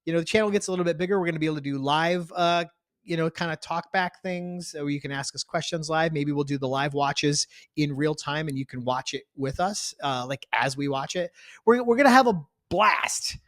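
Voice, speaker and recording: A man's voice: on the high side, brisk, fairly bright tone, no room sound, studio quality. A man co-hosting a podcast in casual, rambling banter, with a jokey, teasing manner. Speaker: podcast co-host. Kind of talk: casual banter with co-host spouse. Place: home garage studio.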